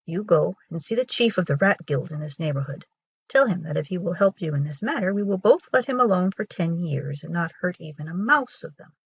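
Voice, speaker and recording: Woman as a husky, clever storybook dog. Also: on the low side, animated, a little fast, no room sound, lo-fi sound, exaggerated voice. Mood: self-assured. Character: clever, bossy male dog of a folktale.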